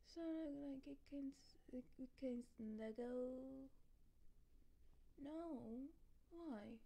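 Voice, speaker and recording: A woman acts out a drunk, happy girlfriend in an audio roleplay. She drawls very slowly in a soft, fairly high, mellow voice that often slips toward a whisper. Her talk is goofy and lovestruck, full of halting repeats. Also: creaky, heavily acted, poor audio. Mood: sleepy, protesting. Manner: rambling, halting, repeating words, giggly. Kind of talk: ASMR roleplay, voicemail monologue.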